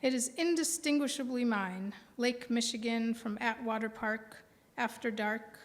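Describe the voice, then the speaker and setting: An American woman in her forties, a poet giving a live reading with deliberate phrasing and pauses. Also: fairly high pitch. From Milwaukee, American Midwest. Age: 40s. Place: indoor reading before a live audience. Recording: reverberant hall sound.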